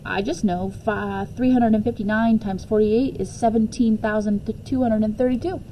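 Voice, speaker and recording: A woman's voice: medium pitch, steady tone, normal speed, dark tone, a little hiss or hum, close to an everyday voice, low-quality recording. A woman voicing a self-assured, matter-of-fact second-grade girl who is a math whiz, in a storybook read-aloud.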